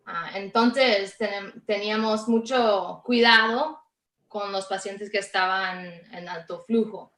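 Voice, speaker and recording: A woman from Canada speaks slowly in non-native Spanish, searching for words as a panelist. Her voice is bright, and it comes through an echoey online call. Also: mid-range voice, steady tone. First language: not Spanish. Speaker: healthcare panelist. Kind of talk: unscripted panel answer.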